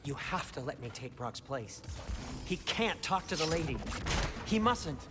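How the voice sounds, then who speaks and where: A man's voice: on the high side, sing-song, measured pace, long reverb tail, noisy background. An actor voicing a fretful, nervous male dwarf who pleads anxiously. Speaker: game character.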